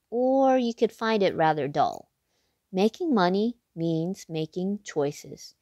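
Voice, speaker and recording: A woman hosting a read-aloud, reading a children's picture book expressively, with big excited leaps in pitch. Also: dry recording, clean studio sound.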